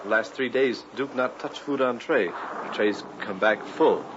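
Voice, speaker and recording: An actor playing a humble, superstitious servant who speaks halting broken English in an old-time radio play. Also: male voice, medium pitch, lightly acted. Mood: fearful, deferential. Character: timid, superstitious male servant, humbly deferential. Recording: dull, noisy vintage radio recording.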